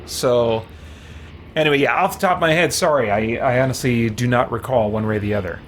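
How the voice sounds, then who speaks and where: Male streamer, playful and folksy, casual gameplay commentary over clear background game noise. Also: medium pitch, fairly quick. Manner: playful, mild folksy exclamations.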